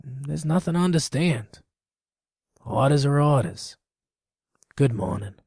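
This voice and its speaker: A gravelly, husky lamplighter, acted by a man, bone-tired and resigned. He grumbles about his duty in a weary storybook drama voice. The sound is dry and close. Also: medium pitch, animated, slow, a little mellow, dry recording, heavily acted.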